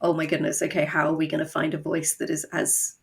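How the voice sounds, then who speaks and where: Lowish voiced woman w bit of vocal fry, animated interview chat, pokes fun at herself, acts out little quotes. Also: fast. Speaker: guest, writer and voice actor.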